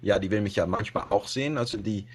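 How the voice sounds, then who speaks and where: A man's voice: on the low side, fast talker. Hesitant Dutch man speaking German. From Netherlands. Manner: hesitant, many fillers and restarts, laughs often. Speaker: podcast guest, web radio host. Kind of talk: casual podcast interview.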